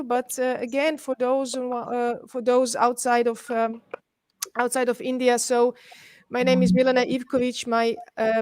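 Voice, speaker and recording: A woman's voice: high voice, dry recording. A middle-aged woman with a Serbian accent, giving a presentation in an online webinar. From Belgrade, Serbia. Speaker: guest presenter, urban planner. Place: indoors, on an online webinar call.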